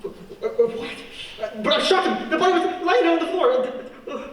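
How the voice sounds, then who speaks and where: A man acts a villainous professor who passes as a respectable gentleman. His high voice swings widely with courteous, theatrical menace. It is a radio play heard in a very echoey space. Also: animated, normal speed. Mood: flustered. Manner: genteel, theatrical, mock-regretful. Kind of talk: stage-like radio play acting. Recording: distant, very echoey, noisy room.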